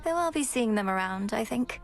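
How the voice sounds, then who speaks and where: An actress playing an earnest, composed young underworld princess in a video game, poised and gently formal. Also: female voice, a little high, expressive, fairly quick. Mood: wistful. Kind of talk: video game character acting. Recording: music under the voice.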